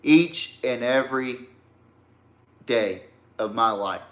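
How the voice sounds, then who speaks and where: A man's voice: mid-range voice, slow, a bit bright, roomy sound, lo-fi sound. A man hosting a casual solo podcast, talking bluntly and with casual swearing into a podcast microphone. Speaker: podcast host.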